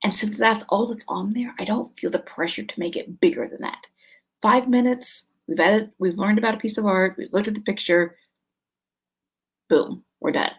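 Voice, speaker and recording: Middle-aged female vlog host, medium pitch, husky edge, informal and chatty. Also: moderate pace. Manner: chatty, conversational, thinking aloud. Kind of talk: casual informal product recommendations to camera.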